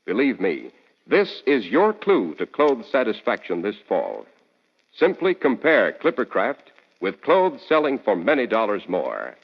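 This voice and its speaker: A man with a mid-pitched, very animated voice announces in 1940s radio style. His delivery is scripted, polished and salesmanlike. It comes through as a muffled, reverberant old broadcast. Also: a little slow, creaky, a little hiss or hum.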